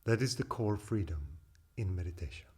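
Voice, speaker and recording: A man with a deep, breathy and slightly husky voice guides a meditation. He delivers each instruction calmly and deliberately, leaving long pauses between phrases. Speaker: meditation teacher.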